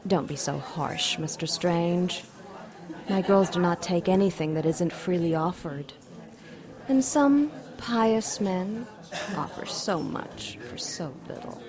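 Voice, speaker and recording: A woman's voice: on the low side, expressive, slow. An actress playing a seductive, haughty female demon with a sultry, coolly menacing manner in an audio drama. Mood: smooth, superior. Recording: restaurant clatter and chatter behind.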